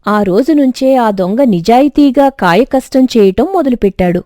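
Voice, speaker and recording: A woman's voice: on the high side, animated, normal speed, dark tone, dry recording, studio quality. A woman narrating a scripted moral story as a storyteller.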